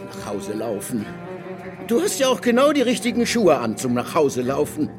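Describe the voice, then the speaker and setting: A sardonic young gentleman in a radio play whose fairly high voice swings widely as he mocks. Music sounds clearly behind him. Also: huge pitch swings, moderate pace. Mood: sarcastic. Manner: dry, sarcastic.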